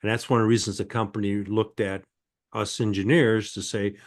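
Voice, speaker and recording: An older man, answering as a guest in an interview in a halting, conversational way. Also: lowish voice, flat delivery. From born in France, grew up in Michigan, United States. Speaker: podcast guest, sales and marketing consultant.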